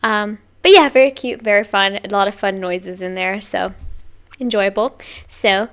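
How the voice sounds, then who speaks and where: A woman hosting a music reaction video, talking casually and rambling with lots of filler words, with bursts of excited whoops. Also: medium pitch, normal speed, smooth. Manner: chatty, rambling, heavy on "like". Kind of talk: casual first-listen reaction.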